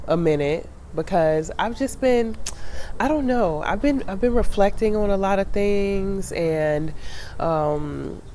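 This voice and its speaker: A woman in her thirties talks to her viewers in a loose, reflective way, her voice a bit nasal and congested from a lingering sinus cold. Her voice sits low and sounds mellow and warm. A faint hiss of room noise stays under her. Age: 30s. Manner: chatty, reflective, many fillers and restarts. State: getting over a sinus cold. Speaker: travel vlogger. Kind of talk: casual chat to camera.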